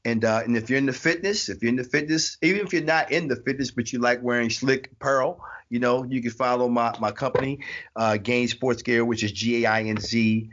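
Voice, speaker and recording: A man's voice: mid-range voice, a little fast. Laid-back man in his forties, muffled call audio. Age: 40s. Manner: relaxed, laughs often, repeats phrases. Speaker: guest. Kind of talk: casual interview chat.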